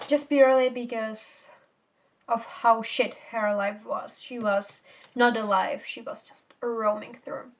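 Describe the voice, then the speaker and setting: A woman's voice: on the high side, fairly even intonation, slow. A teenage girl whose first language is Czech, casually reviewing a book in a rambling, self-correcting way with casual swearing. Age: teens. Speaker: book vlogger.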